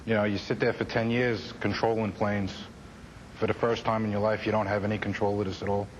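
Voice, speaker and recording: A man's voice: fairly low pitch, level tone, brisk, lo-fi sound. A man with an American accent recalling his work as an air traffic controller in an interview. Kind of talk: first-hand recollection in interview.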